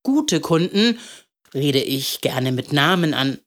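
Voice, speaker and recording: A woman voicing a kindly, playful old male candlemaker in a storybook, talking in a gentle, ceremonious way. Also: deep voice, expressive. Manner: gentle, ceremonious, drawn-out questioning.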